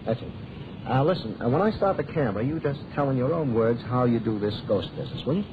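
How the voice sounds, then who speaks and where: A man's voice: mid-range voice, animated, fast, natural voice. An actor playing a nervous, wisecracking American newsreel cameraman, hesitant and slangy, in vintage radio drama style. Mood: brisk. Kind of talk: 1930s-40s radio acting. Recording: muffled, noisy old radio recording.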